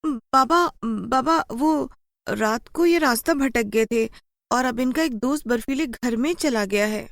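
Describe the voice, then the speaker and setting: A woman's voice: a little high, unhurried, creaky, no room sound, studio quality, pitched above the performer's own voice. A woman voicing a frightened, pleading, kind-hearted young village girl in a horror cartoon story. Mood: anxious, pleading. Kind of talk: animated Hindi horror story dialogue.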